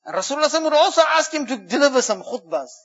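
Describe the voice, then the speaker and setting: A man preaching a sermon, telling stories, who swings between loud emphatic phrases and hushed quiet ones and quickly chants Arabic verses. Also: very high voice, sing-song, muffled recording. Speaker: Islamic preacher. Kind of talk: sermon storytelling.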